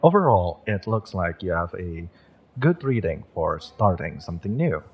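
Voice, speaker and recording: A man playing a kind, wistful witch and tarot shopkeeper in a roleplay audio, speaking to the listener in a gentle, encouraging, unhurried way. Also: deep voice, big pitch swings, breathy edge, exaggerated voice. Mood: reassuring, hopeful.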